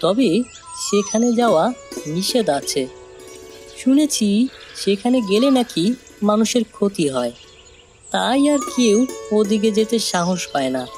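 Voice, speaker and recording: Woman doing a daring greedy village kid in a cartoon, eager, dismissive, music clearly behind. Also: medium pitch, expressive, measured pace, long pauses, a little mellow, close to an everyday voice. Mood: intriguing, eager. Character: bold, curious, greedy village child, scoffing at warnings. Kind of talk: animated moral-tale cartoon acting.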